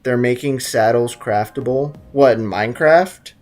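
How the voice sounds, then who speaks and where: A man's voice: mid-range voice, a little slow. Brief: young male let's-player, loose rambling jokes, faint music bed. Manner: joking, rambling, excitable. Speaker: gaming YouTuber. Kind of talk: casual let's-play commentary. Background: game music.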